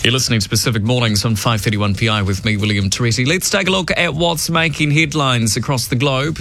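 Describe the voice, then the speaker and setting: A man's voice: medium pitch, a bit bright. A man with a New Zealand accent hosting a radio show, giving emphatic opinion commentary and reading news headlines. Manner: emphatic, opinionated, varied delivery. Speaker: radio host.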